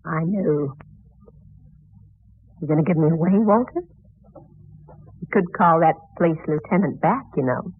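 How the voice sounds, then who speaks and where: Husky, imperious mature widow. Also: female voice, low-pitched, expressive, measured pace, pauses often, full character voice. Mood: bitter, brooding. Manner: curt, imperious. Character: proud, cold widow, mature and bitterly unsentimental. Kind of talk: old radio drama acting. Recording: muffled lo-fi old radio, music behind.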